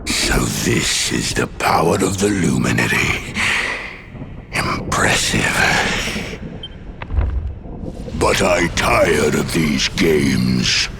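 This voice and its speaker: An actor playing a tyrannical dark sorcerer overlord in a video game, declaiming with grandiose, menacing contempt over music. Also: male voice, a bass voice, animated, slow, vocal fry, noisy background, full character voice. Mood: scornful. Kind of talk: theatrical video game villain acting. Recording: loud music under the voice.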